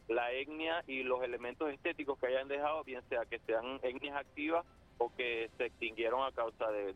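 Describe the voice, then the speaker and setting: Hesitant Venezuelan man on phone. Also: medium pitch. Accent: Venezuelan, Zulia. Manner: hesitant, full of fillers. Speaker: guest muralist. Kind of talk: phone interview. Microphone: phone line. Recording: muffled phone line.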